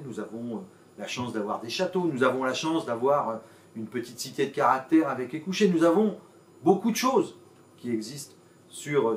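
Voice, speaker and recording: The recording is roomy, with the voice set back from the microphone. A man delivers a formal civic address. He speaks in measured phrases, leaning on key words and pausing or hesitating between them. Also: mid-range voice, darkish tone, far from the mic. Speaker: mayor and intercommunal president.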